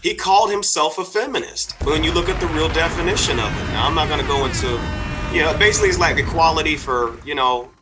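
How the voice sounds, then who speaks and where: A man's voice: on the high side, fast, music in the background. A man hosting a podcast, talking casually in a meandering way with lots of fillers and restarts. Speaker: podcast host. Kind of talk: casual discussion.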